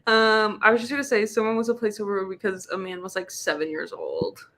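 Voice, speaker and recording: A woman's voice: medium pitch, animated, fairly quick, fairly bright tone. A woman hosting a casual live book club chat, talking in an informal, slangy, rambling way. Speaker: live show host.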